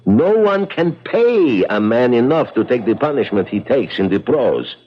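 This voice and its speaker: An actor playing a kindly, philosophical old uncle in a 1970s radio drama, speaking in a measured, fatherly way. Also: mid-range voice, sing-song. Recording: dull, noisy 1970s radio recording.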